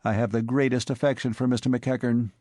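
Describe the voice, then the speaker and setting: A man voicing a calm, witty young gentleman and former burglar with dry, ironic speech. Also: mid-range voice, brisk, no room sound, close to an everyday voice.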